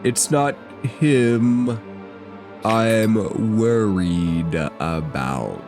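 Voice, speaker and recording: Man playing slow, ominous hulking blue creature. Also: fairly low pitch, over music. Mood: grave warning. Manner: slow, deliberate, grave warnings. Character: very large bluish humanoid keeper, ominous and stern.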